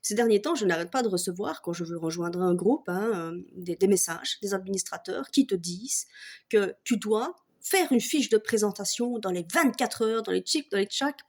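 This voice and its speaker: A woman with a Belgian French accent, her voice sitting at an ordinary middle pitch. She rants to her viewers in a loose, mocking way, swearing freely and laughing often. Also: moderate pace, darkish tone. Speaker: vlogger. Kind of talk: casual comic rant to camera.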